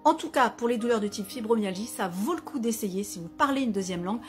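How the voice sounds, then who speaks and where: A woman wellness author talks to camera, explaining her idea in a lively way with examples and asides. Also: a little high, quick pace, faint music behind.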